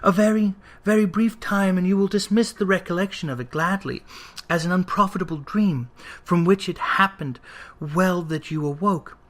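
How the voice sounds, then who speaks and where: A narrator voicing a gentle, dignified young woman who speaks with earnest sorrow and resolve in an old storybook drama. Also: mid-range voice, normal speed, pitched above the performer's own voice, close to an everyday voice. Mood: pained resolve. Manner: earnest, soft-spoken, dignified.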